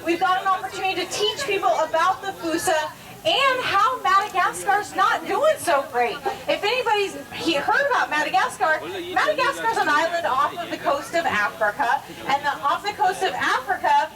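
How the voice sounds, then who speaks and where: A woman's voice: very high-pitched, animated, normal speed, far from the mic, crowd noise, low-quality recording. A woman giving a live, informal educational animal presentation, explaining things directly to onlookers. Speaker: zoo animal show presenter.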